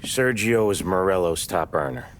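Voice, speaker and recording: A man's voice: sits low, moderate pace, a little hiss or hum, natural voice. An actor playing a loyal mob henchman in a crime game, talking in a businesslike, matter-of-fact way. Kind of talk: cinematic crime game acting.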